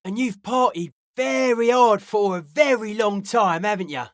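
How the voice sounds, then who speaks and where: A woman voicing a suspicious, aloof young hardcore raver in a video game, speaking in deadpan, clipped, cryptic pronouncements. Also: medium pitch, unhurried, dry recording, clean studio sound, lightly acted. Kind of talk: video game character dialogue.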